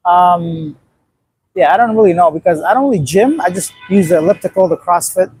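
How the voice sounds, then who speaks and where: A man's voice: sits high, fairly quick, a little mellow, faint music behind, rough recording. A man in his late thirties from Afghanistan, rambling bluntly on a casual livestream and reacting to viewer comments. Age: 30s. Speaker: livestream host. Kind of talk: casual live-stream chat reading viewer comments.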